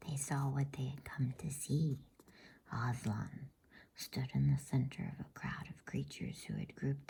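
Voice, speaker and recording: Woman whispering a breathy bedtime story. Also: very deep voice. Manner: hushed, soothing, unhurried. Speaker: reader of bedtime stories. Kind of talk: whispered ASMR storybook reading.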